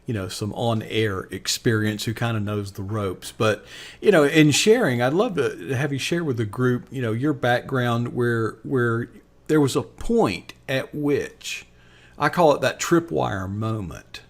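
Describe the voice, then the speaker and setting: A man's voice: medium pitch, animated, a little slow. A man hosting a live online show, introducing and interviewing a guest with enthusiasm and many fillers. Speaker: host.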